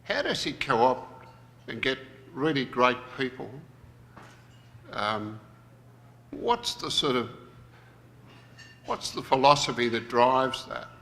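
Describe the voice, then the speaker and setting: Guy presenting at a conference, bright voice, big hall echo, kinda reflective. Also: mid-range voice, animated, slow, long pauses, slight background noise. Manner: reflective, thinks aloud with restarts. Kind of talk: plenary conference talk.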